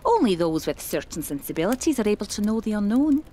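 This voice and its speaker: An actress playing an eccentric, flighty and solemn psychic medium in an audio horror drama, with flowery, genteel speech. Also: female voice, medium pitch, sing-song, fast talker, dark tone, a little hiss or hum, close to an everyday voice. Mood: genteel, patient.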